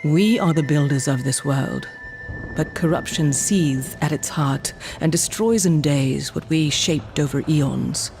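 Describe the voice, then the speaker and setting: A woman playing a solemn old shaman of a dying giant race in a fantasy game, speaking in a measured, mystical way. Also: deep voice, a little mellow, soft background music. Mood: solemn, sorrowful. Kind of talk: dark fantasy game acting.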